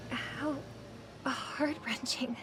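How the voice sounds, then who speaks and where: An actress playing a gentle, compassionate young woman in a video game, polite and earnest in her delivery. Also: high voice, steady tone, very slow, slight background noise, heavily acted, rough recording. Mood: sympathetic. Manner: polite, soft-hearted.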